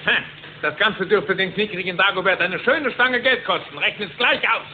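Guy playing greedy rich duck villain, cartoony high voice, muffled tape. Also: normal speed, close to an everyday voice. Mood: gloating. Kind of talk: cartoon audio drama acting. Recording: dull, noisy cassette tape.

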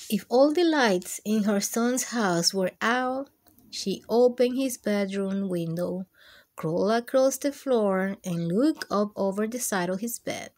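A woman hosting children's storytime, reading aloud expressively and deliberately, with asides to her young listeners. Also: mid-range voice, darkish tone.

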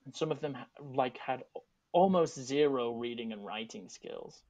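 A man in his thirties from England with a softened Yorkshire accent, a teaching artist and artistic director talking casually and thoughtfully on a video call panel, with frequent restarts and asides. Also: mid-range voice, lively intonation, moderate pace. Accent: Yorkshire English, softened for Americans. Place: home room. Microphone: video call, earphones. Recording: dull lo-fi video call audio.

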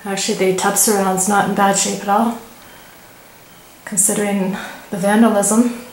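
A woman's voice: medium pitch, level tone, moderate pace, poor audio. A woman giving casual, unscripted running commentary in short fragments as she explores empty rooms with a handheld camera. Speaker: urban explorer and host. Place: inside rooms of an empty, abandoned house. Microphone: handheld camera microphone held by the speaker. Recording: handheld camera with clear background noise. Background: ambient noise.

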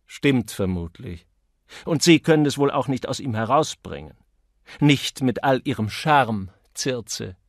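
A man's voice: medium pitch, expressive, measured pace, no room sound, voice pushed down. A man voicing a cool, enigmatic man who teases in a dry, condescending way, as an audiobook character. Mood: dry, probing. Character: cool, mocking, enigmatic man toying with his listener.

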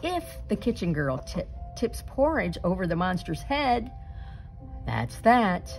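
A woman reading a children's picture book aloud expressively, with deliberate, dramatic emphasis and suspenseful pauses. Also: medium pitch, very animated, music in the background.

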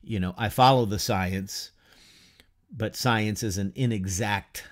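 A man in his fifties giving an informal class lecture from a home study, unhurried and conversational, asking rhetorical questions and using many fillers. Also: lowish voice. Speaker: teacher of an adult church class. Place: home study lined with bookshelves, whiteboard beside him.

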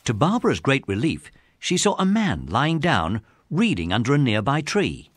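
A man's voice: medium pitch, huge pitch swings, normal speed, a little hiss or hum. A man with an English accent narrating a scripted children's story.

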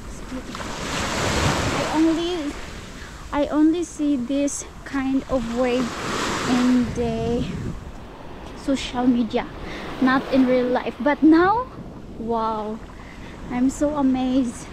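Young woman, slow unhurried talk, chatty vlogger, outdoor beach, loud surf behind. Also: high-pitched. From Philippines. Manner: chatty, addresses viewers directly. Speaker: travel vlogger. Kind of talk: casual walking vlog. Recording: noisy outdoor beach audio. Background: waves and surf.

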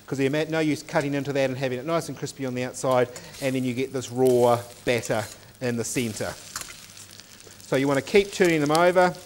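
A man's voice: medium pitch, a little fast, slight background noise. A man, a cooking teacher explaining each step as he cooks in a teaching kitchen. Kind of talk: cooking demonstration. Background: oil sizzling in a pan.

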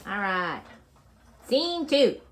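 A woman's voice: medium pitch, big pitch swings, very slow, a little hiss or hum. A woman casually directing a child's home video, giving short, encouraging cues from behind the camera. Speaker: parent directing a child's puppet show. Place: child's bedroom, indoors. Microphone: handheld camera, speaking from behind it.

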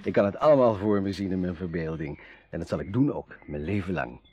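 A man's voice: sits low, fairly quick, a little mellow, highs cut off, a little hiss or hum, natural voice. An actor playing an eloquent, sentimental dreamer in a radio drama, savouring his phrases theatrically. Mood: wistful.

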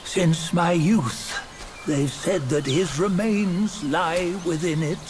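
An actor playing a weary, reverent old storyteller in a video game, solemnly telling an ancient legend with dramatic pauses. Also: male voice, fairly high pitch, noisy background. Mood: solemn, wistful. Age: older. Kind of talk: solemn scripted game narration. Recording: music behind the voice.

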